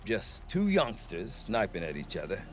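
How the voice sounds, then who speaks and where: Wily, sentimental male merchant for a fantasy game: lowish pitch, very expressive, wry self-justifier. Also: normal speed, over music. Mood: defensive, wry. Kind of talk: video game cutscene acting.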